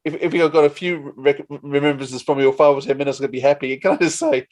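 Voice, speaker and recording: A man's voice: fairly high pitch, steady tone, quick pace, a little mellow. A man with an Australian accent hosting a casual interview, speaking in an effusive, appreciative way. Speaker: podcast host.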